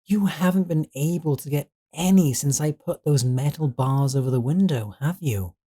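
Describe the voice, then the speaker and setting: A man's voice: fairly high pitch, normal speed, warm tone, husky. A man playing an obsessive, possessive servant in a scripted roleplay audio drama, coaxing and deferential yet controlling. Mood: stern, devoted.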